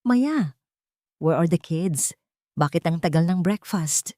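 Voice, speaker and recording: A woman's voice: on the low side, animated, takes it slowly, dark tone, no echo, clean studio sound. Woman voicing a haughty, sugary rich fiancée. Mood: sharp, impatient. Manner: condescending, sugary, switching between English and Tagalog.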